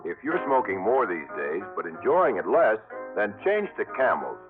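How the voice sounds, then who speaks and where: Low, very animated male radio announcer. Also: moderate pace. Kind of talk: 1950s radio advertising announcement. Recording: vintage narrow-band radio, loud music.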